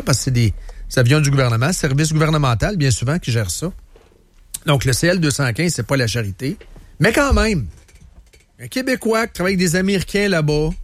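A man with a Quebec French accent chats on the radio in a loose, rambling way, circling back and repeating words as he goes. His voice sits a little high for a man. The sound is close and dry, with no room around it. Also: no echo. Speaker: radio host. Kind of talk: casual radio chat. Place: radio studio.

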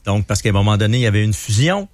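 A man in his fifties with a Québécois French accent, a new radio host telling his own story in a relaxed, casual way. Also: on the low side, racing, dry recording. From Lac-Beauport, Quebec. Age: 50s. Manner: relaxed, talks through his memories. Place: radio studio.

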